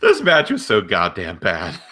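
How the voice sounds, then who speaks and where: A man's voice: sits low, huge pitch swings, measured pace, sharp tone. A man co-hosting a casual wrestling podcast, giving informal, matter-of-fact critical commentary. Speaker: podcast co-host.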